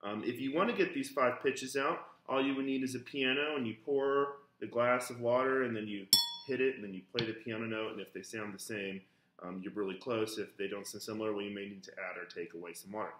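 A man in his thirties, a music teacher giving a relaxed, chatty lesson at home, full of ums and uhs, recorded by a camera on a kitchen table. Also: fairly low pitch, a little fast. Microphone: camera on the table in front of him. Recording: echoey kitchen with faint noise. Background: glasses clinking.

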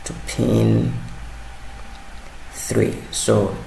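A man narrating a hands-on electronics tutorial, halting and repeating words as he works. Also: fairly low pitch, level tone, gravelly, mellow. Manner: halting, hesitant, repeats words while working. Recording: roomy sound with faint background noise.

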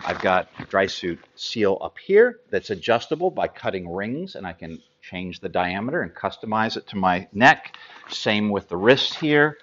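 Male American presenter, fifties, lower register, informal halting explainer style, slightly dull studio audio. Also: sing-song, a bit bright. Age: 50s. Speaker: sailing gear expert presenter. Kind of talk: informal product explainer to camera.

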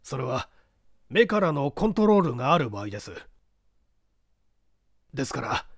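A man playing a defeated accused detective in an audiobook, explaining himself in a measured, formal, subdued way. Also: medium pitch, very animated, dry recording, clean studio sound. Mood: subdued, grave. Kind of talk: dramatic audiobook character reading.

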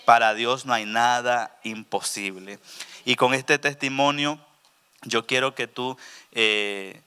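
A middle-aged man, a pastor giving a testimony sermon on a church stage into a handheld mic, swinging between quiet asides and loud exclamations. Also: mid-range voice, fairly even intonation, takes it slowly, clear, resonant tone. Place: church stage with purple lighting. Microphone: handheld microphone.

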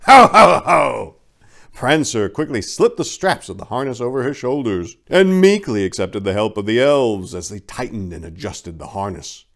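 Guy in his 40s doing a hammy dramatic story reading, pitch all over the place. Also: expressive, normal speed, hardly any pauses, a little mellow. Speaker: dramatic reader, channel host. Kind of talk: theatrical scripted story reading. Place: small indoor room lined with bookshelves. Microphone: lapel mic.

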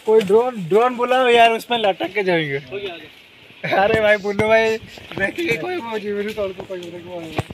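A man's voice: sits high, animated, moderate pace. A young man bantering and calling out to friends while hiking on a forest trail, picked up by a nearby phone. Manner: joking, calls out to friends. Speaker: hiking companion. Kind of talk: casual banter among friends. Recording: loud outdoor noise.

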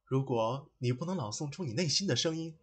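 A woman's voice: a bass voice, expressive, fairly quick, breathy edge, full character voice. A woman playing a haughty young male courtesan and poet in a comic audio drama, self-important and declamatory. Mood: pompous. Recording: loud music behind the voice.

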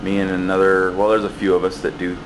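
Low-voiced man rambling through a shop tour. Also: quick pace, noisy background. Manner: rambling, relaxed, many fillers and restarts. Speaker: building maintenance worker giving a shop tour. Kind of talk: casual walkaround tour. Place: cluttered basement workshop, indoors. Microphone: handheld camera mic, speaker off screen.

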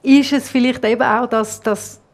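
A woman in her thirties with a Swiss German accent, hosting a studio interview and asking lively questions. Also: a little high, normal speed. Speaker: host. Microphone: lapel.

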